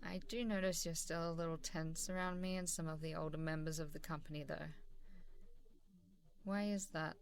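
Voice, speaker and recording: A woman playing a warm, supportive, easygoing boss in a casual, encouraging ASMR role-play. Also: low-pitched, steady tone, moderate pace. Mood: reassuring, encouraging. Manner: encouraging, casual, chuckling hesitantly between phrases. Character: supportive, warm, easygoing female boss giving a praising review. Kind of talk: ASMR role-play, softly conversational one-sided dialogue.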